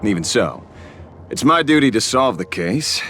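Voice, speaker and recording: A man's voice: medium pitch, big pitch swings, normal speed, fairly bright tone. An actor playing a wry, streetwise male investigator in a game, talking with sardonic, self-assured drawl. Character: wry streetwise male investigator, lone-wolf justice seeker. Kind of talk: game dialogue voice acting. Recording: studio voice with faint game music.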